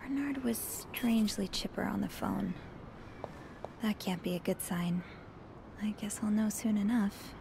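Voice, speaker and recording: An actress playing a curious, evasive young hotel maid in a mystery game, speaking in an earnest, hesitant way. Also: female voice, medium pitch, steady tone, unhurried, vocal fry, background noise. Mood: pensive, hushed. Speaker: video game character. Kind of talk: narrative mystery game voice acting.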